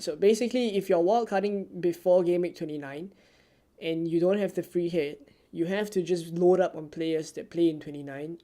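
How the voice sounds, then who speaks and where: A streamer from Singapore, answering viewer questions in a live stream with a soft, low-key, almost ASMR-like delivery. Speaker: fantasy football streamer.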